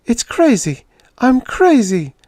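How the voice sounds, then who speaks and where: A man voicing a lonely, frightened woman who pleads and cries out in a high, anxious voice. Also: very animated, slow, a little mellow, no room sound, studio quality, heavily acted. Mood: tearful. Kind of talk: audiobook character voice.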